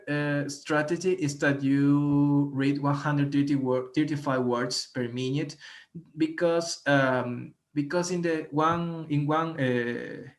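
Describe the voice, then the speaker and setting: A man from Ecuador with a Spanish accent, giving a class presentation on speaking strategies, careful and halting with many restarts. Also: medium pitch. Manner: careful, with frequent fillers and restarts. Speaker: student presenter.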